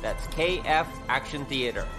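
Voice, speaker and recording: A man's voice: mid-range voice, expressive, normal speed, music in the background. A man reading scripted credits as the announcer of an audio drama show. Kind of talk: scripted announcement over music.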